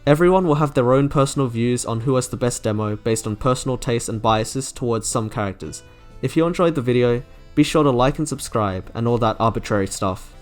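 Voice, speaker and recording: A man's voice: medium pitch, brisk. A man narrating a scripted, opinionated video review with enthusiasm. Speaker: video creator reviewing game character trailers. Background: music.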